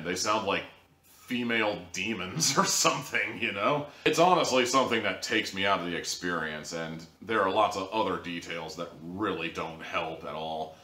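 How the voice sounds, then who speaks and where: A man's voice: sits low, bright, forceful tone. A man giving a candid, rambling spoken review as host, full of fillers and asides. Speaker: audio drama reviewer. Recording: roomy echo, voice distant from mic.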